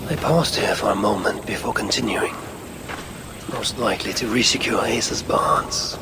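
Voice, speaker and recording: An actor playing a grim, sardonic, arrogant older Norse god in a video game, muttering in a gravelly, measured, haughty way. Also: male voice, mid-range voice, very noisy. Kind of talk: dramatic video game voice acting.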